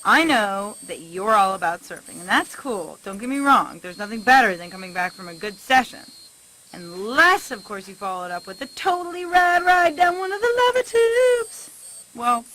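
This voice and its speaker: A woman's voice: medium pitch, sing-song, normal speed, sharp tone, a little hiss or hum, poor audio. A woman voicing an upbeat, boastful young penguin surfer doing a breezy, slangy video blog in a game. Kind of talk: scripted video blog monologue.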